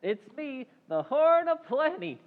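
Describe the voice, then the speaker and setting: A man playing a glum young delivery boy in old-time radio comedy, deadpan and drawn-out. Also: very high voice, full character voice. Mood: unimpressed. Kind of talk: 1940s radio comedy acting. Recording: hollow, narrow-band hall recording.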